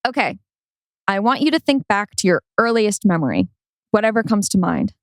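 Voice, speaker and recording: A woman playing a warm, playful wife who is a brain researcher, in a script read-through. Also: mid-range voice, sing-song, moderate pace, dry recording, studio quality. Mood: calm, coaxing. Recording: music playing behind.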